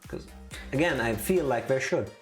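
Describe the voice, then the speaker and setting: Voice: mid-range voice, expressive, moderate pace. A streamer in casual conversation before a game stream, with an opinionated, playfully teasing way of talking. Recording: music playing behind the voice. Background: music.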